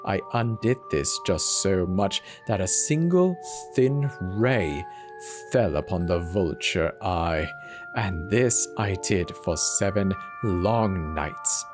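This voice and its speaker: A man giving a theatrical, dramatic horror reading, swinging from hushed murmurs to manic highs, with faint music behind him. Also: lively intonation, slow pace. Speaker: narrator. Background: faint instrumental music.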